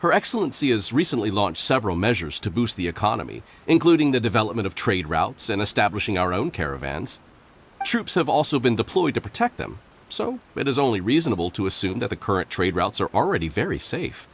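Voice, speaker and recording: A man's voice: on the low side, very animated, a bit bright, slight background noise. A man voicing a courteous, dutiful island soldier in a video game, speaking formally and firmly. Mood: assured. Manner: formal, measured. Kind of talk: scripted video game voice acting.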